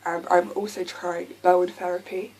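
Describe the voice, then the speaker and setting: A young woman with a croaky voice from a lasting sore throat, talking candidly to camera with many ums. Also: lowish voice, level tone, moderate pace, darkish tone, a little hiss or hum, rough recording. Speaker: vlogger. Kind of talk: casual talk to camera.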